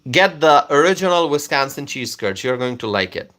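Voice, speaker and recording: A man whose first language is Bengali hosts a casual livestream, reading out comments and answering viewers. His voice is bright and forward at a middling pitch. He keeps pausing on fillers and repeating words as he talks. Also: sing-song.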